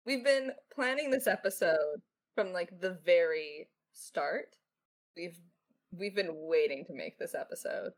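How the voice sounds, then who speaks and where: A woman's voice: lowish voice, unhurried. A young woman speaking as a writer in a casual podcast chat, informal and jokey in manner. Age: young adult. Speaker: lead writer and voice actor answering questions.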